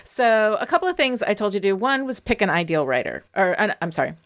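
A woman's voice: mid-range voice, big pitch swings, quick pace, a bit bright. Woman coaching in casual conversation. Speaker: writing coach.

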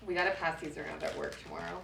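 This woman talks in a low register with a gravelly edge of vocal fry. She chats casually with quick, giggly asides. Her voice carries a roomy echo and faint background noise. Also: fairly even intonation. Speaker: podcast co-host. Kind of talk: casual snack-tasting chat.

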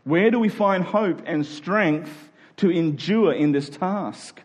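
Higher-voiced male preacher, emphatic and rhetorical. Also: takes it slowly. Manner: emphatic, rhetorical questions and repetition. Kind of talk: sermon to a congregation.

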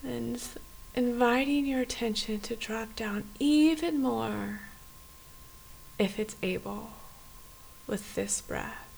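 A woman guiding a body scan meditation in a soft, often near-whispered voice, with gentle, unhurried phrasing and pauses. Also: a little high, creaky, poor audio. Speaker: meditation guide. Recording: faint background hiss.

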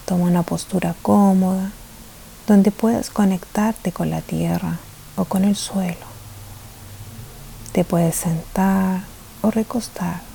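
A woman with a lowish, very mellow voice leads a guided meditation. She is gentle and soft-spoken, with gravelly fry at the edges and a habit of slipping into near-whisper. A faint hiss sits behind her voice. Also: low-quality recording. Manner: soothing, gentle phrasing with pauses.

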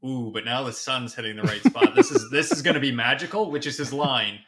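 A man guesting on a film review podcast, enthusiastic and halting as he gathers his thoughts in casual chat. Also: mid-range voice, crowd noise.

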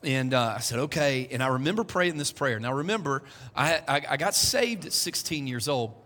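A man's voice: mid-range voice, expressive, normal speed, pushed and bright. A man, preaching a sermon to his congregation in a conversational way, with joking asides. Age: 40s. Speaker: pastor. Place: church hall. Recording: echoey church hall.

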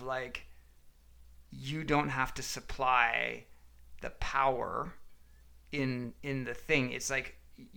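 A man talks casually as a podcast co-host. His voice is bright and clear, with a creaky edge. He rambles and halts, feeling for the right words as he goes. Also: medium pitch. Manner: rambling, halting, searching for words. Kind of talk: casual chat, storytelling.

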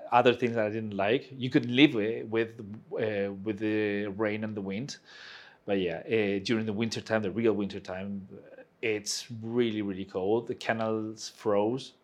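Guest role: Chilean man, 40s, casual and halting, dry wit. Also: on the low side, steady tone. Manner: hesitant, restarts phrases, dry humor. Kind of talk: casual interview chat.

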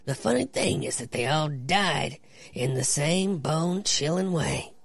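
A man acts out a grizzled sheriff in a comic-book radio play. The voice is creaky and rough, rising and falling freely as he spins a grim, folksy yarn. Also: fairly high pitch, animated, unhurried, dry recording, exaggerated voice. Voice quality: gravelly, raspy. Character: grizzled small-town sheriff, grim old storyteller.

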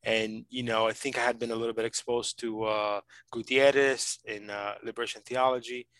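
Man from Brazil, thirties, earnest casual talk, dry close sound, mid pitch. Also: level tone, a bit bright, no echo. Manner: earnest, fast, many fillers and restarts. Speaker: podcast host, PhD student. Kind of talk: casual conversational interview.

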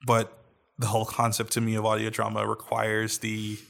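A man's voice: on the low side, monotone, moderate pace, creaky, fairly bright tone. A man co-hosting a podcast, talking casually and thoughtfully, often restarting his sentences as he searches for words. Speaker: podcast co-host.

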